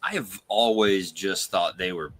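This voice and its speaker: A man's voice: low voice, unhurried, a bit bright, slight background noise. A middle-aged man from Ohio with an American accent, talking casually as a podcast panelist. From Ohio, United States. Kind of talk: casual chat.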